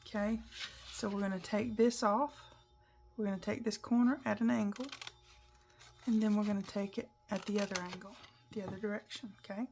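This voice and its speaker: A woman hosting a papercraft tutorial, talking through each step in a chatty, instructive way while a little croaky. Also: medium pitch, steady tone, a little fast, a little mellow, a little hiss or hum. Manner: chatty, instructive, talks while working with hands. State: croaky, clearing her throat. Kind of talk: casual step-by-step craft demonstration.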